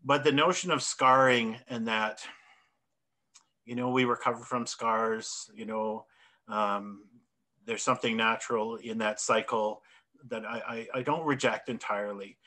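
A man's voice: medium pitch, fairly even intonation, unhurried, pushed and bright. Reflective man on a video call. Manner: reflective, gestures while talking, self-correcting. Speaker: artist presenter. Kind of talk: thoughtful panel discussion. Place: home office with bookshelves and plants. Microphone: video call webcam.

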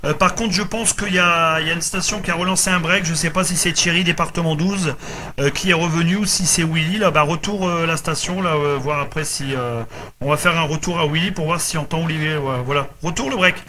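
A man's voice: a little high, fairly quick, noisy background. A man chatting casually as a radio operator, often repeating himself. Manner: repeats himself, tag words. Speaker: amateur radio operator running a group contact.

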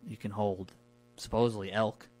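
A man talking casually and colloquially, telling stories in a relaxed conversational podcast style. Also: fairly low pitch, normal speed. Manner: informal, colloquial. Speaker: podcast guest recounting his camping trips.

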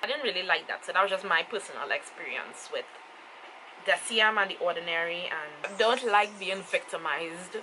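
A woman's voice: fairly low pitch, animated, normal speed. A young woman in her twenties with a Trinidadian accent, giving a casual makeup review to camera. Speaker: beauty vlogger. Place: small room indoors. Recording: clearly noisy home camera recording. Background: clear ambient noise.

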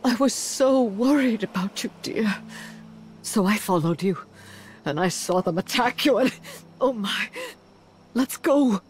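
A woman's voice: mid-range voice, expressive, a little slow, pauses often, slight background noise, natural voice. A woman voicing a dramatic, manipulative middle-aged mother in a video game, fussing and gushing theatrically. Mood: anxious coaxing. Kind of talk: scripted animated game cutscene acting.

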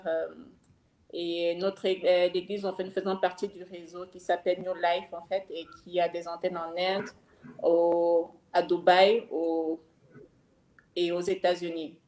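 A woman's voice: on the low side, flat delivery, a little slow, a little mellow, roomy sound, low-quality recording. A middle-aged woman, a pastor's wife, introducing herself on a video call, hesitant and full of fillers and restarts. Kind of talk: guest self-introduction. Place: home room, video call. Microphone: webcam mic on video call.

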